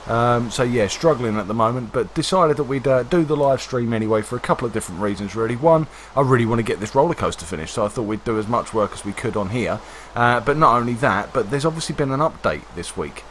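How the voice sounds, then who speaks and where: A man hosting a game livestream, hoarse and congested from a cold, chatting casually with many fillers. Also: mid-range voice, fairly bright tone, slight background noise. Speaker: livestream host. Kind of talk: casual gameplay commentary.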